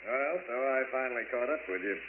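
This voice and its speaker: An actor playing a blunt, suspicious investigator in a vintage radio drama, brusque and sardonic, on a noisy old recording. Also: male voice, mid-range voice, brisk, narrow, tinny audio, natural voice. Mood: suspicious, pressing. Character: blunt, suspicious investigator from district attorney's office.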